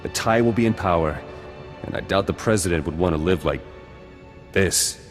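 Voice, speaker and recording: A man's voice: sits low, normal speed, close to an everyday voice. An actor playing a hardened, terse rebel fighter in a video game, grim and suspicious, dropping small words as he talks. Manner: clipped speech that drops small words. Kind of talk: video game dialogue acting. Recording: long hall reverb, music behind.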